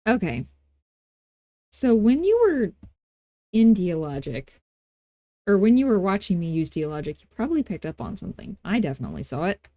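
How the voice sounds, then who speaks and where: A woman records a casual screen tutorial as its host. Her voice sits at a middling pitch, captured very dry with little room sound. She talks informally, with a playful, self-deprecating manner. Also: sing-song, normal speed, long pauses, warm tone, dry recording.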